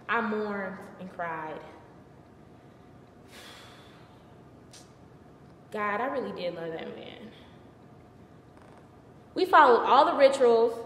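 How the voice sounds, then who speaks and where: Young woman acting a sassy, wronged biblical queen, echoey hall. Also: high-pitched. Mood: mournful, bitter. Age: early 20s. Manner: conversational, sassy, emphatic, exasperated. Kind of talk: live theatrical monologue. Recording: distant mic, echoey hall, faint noise.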